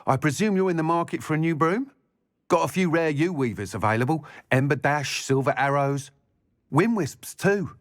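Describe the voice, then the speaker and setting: An eager shopkeeper from a fantasy video game, acted by a man. His salesmanlike talk moves up and down a lot, set fairly high and recorded very dry and close. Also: expressive, normal speed, dry recording, lightly acted. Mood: eager salesman. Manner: salesmanlike, talkative. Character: eager, chatty shopkeeper selling magic brooms. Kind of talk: video game character dialogue.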